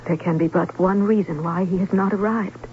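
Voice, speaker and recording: An actress playing an anxious, wistful young bride-to-be, speaking in a measured, melodramatic way in an old-time radio drama. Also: female voice, lowish voice, fairly even intonation, a little hiss or hum, lightly acted. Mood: despairing. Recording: thin phone-like old radio recording.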